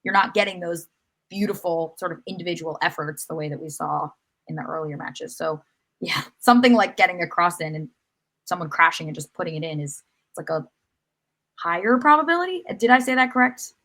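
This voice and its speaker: A woman's voice: on the low side, expressive, brisk, fairly bright tone. A woman giving casual soccer analysis as a podcast panelist, explaining things conversationally and correcting herself as she goes.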